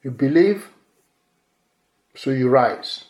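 Slow, deliberate pastor with a mellow voice. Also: male voice, medium pitch, animated. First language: Yoruba. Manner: measured, repeating key phrases for emphasis. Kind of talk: sermon. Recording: echoey room.

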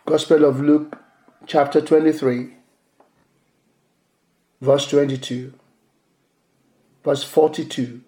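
A male pastor whose first language is Yoruba preaches a sermon in a measured way, repeating key phrases for emphasis. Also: on the high side, fairly even intonation, mellow. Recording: echoey room.

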